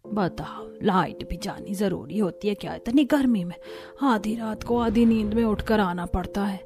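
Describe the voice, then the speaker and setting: A woman's voice: medium pitch, moderate pace, mellow, faint music behind, natural voice. A woman playing a weary, complaining village housewife in cartoon dialogue. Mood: exasperated.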